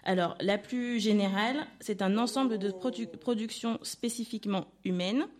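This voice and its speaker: A young woman hosts a student radio segment, setting out definitions before going out to interview people. Her delivery is hesitant and full of small fillers, with a middle-pitched voice. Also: normal speed, darkish tone.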